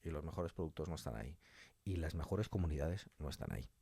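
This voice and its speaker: A man's voice: rumbling low, animated, fast talker, dark tone, breathy edge, no room sound. A man from talking casually and colloquially as an interview guest, giving his opinions.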